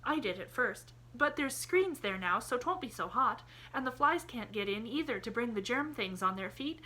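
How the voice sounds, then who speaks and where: Woman voicing a cheerful chatterbox girl, very high, bright, breathless, old-fashioned storybook talk. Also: lively intonation, normal speed, rough recording. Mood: excited. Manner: breathless, gushing, quaint old-fashioned phrasing. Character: eager, chattering young girl, endlessly cheerful. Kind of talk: audiobook character voice.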